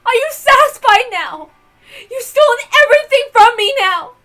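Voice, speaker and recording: A woman's voice: very high-pitched, animated, normal speed, faint music behind, full character voice. A woman voicing a proud, guilt-ridden mother confessing murder in a dramatic, emotional visual novel read-aloud. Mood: anguished, desperate. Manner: theatrical, emotional, swinging from hushed to impassioned.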